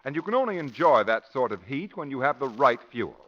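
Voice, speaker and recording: A man's voice: mid-range voice, big pitch swings, a little fast. A man with an American accent announcing a 1940s radio commercial in a polished, emphatic sales style on a muffled old recording.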